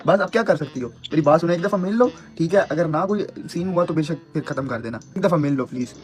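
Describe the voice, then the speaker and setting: A young man pleads and insists at a rapid clip. His voice is fairly low-key in pitch for banter, and he keeps repeating himself as a prank caller, with music playing behind him. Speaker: prank caller and show host. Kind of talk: prank phone call banter.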